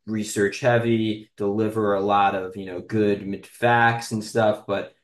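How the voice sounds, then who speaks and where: A man with a fairly low voice hosts a casual interview in a noticeably roomy, echoey sound. He speaks haltingly, feeling his way toward each point. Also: level tone. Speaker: podcast host.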